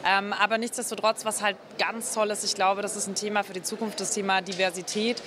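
A young woman speaks candidly and at ease, giving her frank opinions in a casual interview. Her voice is of middling pitch for a woman. A handheld mic picks her up with clear hall noise behind. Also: little pitch movement, a little fast. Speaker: journalist colleague as guest. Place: lounge area of a convention hall. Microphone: reporter's handheld microphone.

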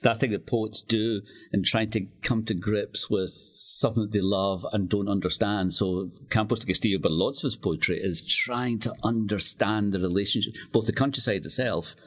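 Scottish guy in his 60s, fairly deep voice, reflective radio chat, tells anecdotes. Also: fairly even intonation, moderate pace, hardly any pauses, fairly bright tone, breathy edge. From west of Scotland. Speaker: interview guest, writer.

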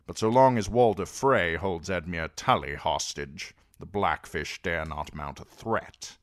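A man voicing a stern, cold old lord who speaks in measured, authoritative tones. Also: low voice, a bit bright, no room sound, clean studio sound. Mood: calculating.

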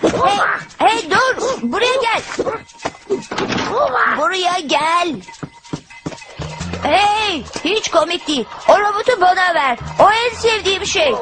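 Very high-pitched excitable cartoon boy. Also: slow, pauses often, exaggerated voice. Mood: bossy, annoyed. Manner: exclaiming, muttering to self. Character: excitable, stubborn young boy, adventurous and cheeky. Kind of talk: dubbed cartoon acting. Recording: music behind the voice.

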